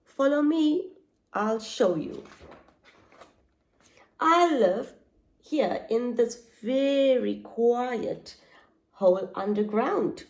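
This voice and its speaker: A woman's voice: a little high, animated, very slow, pauses often, darkish tone, full character voice. A woman voicing a helpful mole who lives in a hole underground. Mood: eager.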